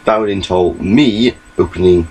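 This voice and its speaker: A man's voice: low-pitched, moderate pace, a little mellow, a little hiss or hum, low-quality recording. A young man giving a rambling, chatty webcam update from his small bedroom, recorded on his laptop mic. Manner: rambling, chatty, gestures a lot. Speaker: vlogger.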